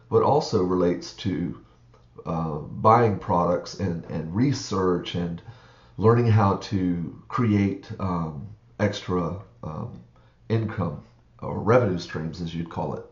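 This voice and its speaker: A man's voice: low-pitched, reverberant, highs cut off. A man with a froggy, groggy morning voice, rambling through a casual screen-share walkthrough with many fillers. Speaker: online reseller vlogger.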